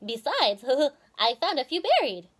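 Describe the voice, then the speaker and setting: A woman's voice: high voice, wildly swooping pitch, measured pace, voice pushed up, heavily acted. A woman voicing a small, jolly male chipmunk who coaxes like a generous host in a storybook. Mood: amused. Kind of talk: storybook character voice.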